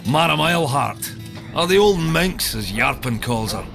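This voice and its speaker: Wry fantasy dwarf scout, medium pitch, gravelly, measured, game cutscene, music behind. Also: male voice, animated. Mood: informative, knowing. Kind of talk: video game cutscene acting.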